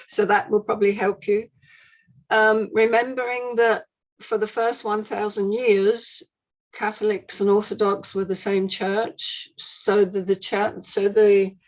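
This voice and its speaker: British woman guest lecturer on a video call, steady explanatory delivery. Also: mid-range voice, measured pace, low-quality recording. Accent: British English. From United Kingdom. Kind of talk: online seminar discussion.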